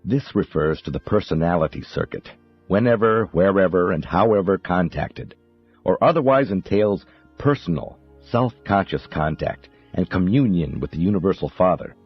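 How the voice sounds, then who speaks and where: A man reading a spiritual text as a professional audiobook narrator, in a solemn, measured, declamatory manner. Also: low-pitched, lively intonation, breathy edge. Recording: dull muffled audio under music. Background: background music.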